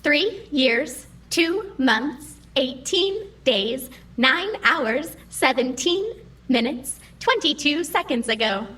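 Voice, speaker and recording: A timid female computer in an audio drama. The voice is high and moves through a lively range, with formal, exact, literal wording that sounds easily wounded. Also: slow, exaggerated voice. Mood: flat, precise. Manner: formal, precise, literal phrasing with few contractions. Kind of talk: scripted audio drama acting.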